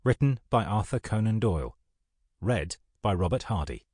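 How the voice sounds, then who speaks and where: Low, husky male announcer. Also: expressive, moderate pace, darkish tone, dry recording, studio quality. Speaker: audiobook announcer. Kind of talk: scripted announcement of titles.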